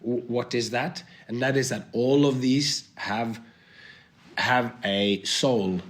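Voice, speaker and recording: A man with a cold and a hoarse voice, thinking aloud as a guest in a podcast conversation, with many restarts. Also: medium pitch, takes it slowly. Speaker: guest, performer.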